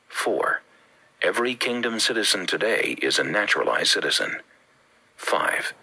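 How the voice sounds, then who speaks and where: A man from the Bahamas with a Bahamian accent, reading a religious teaching with measured, emphatic phrasing. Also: low voice, gravelly, sharp tone, slightly rough, rough recording. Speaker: preacher. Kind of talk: read-aloud religious teaching.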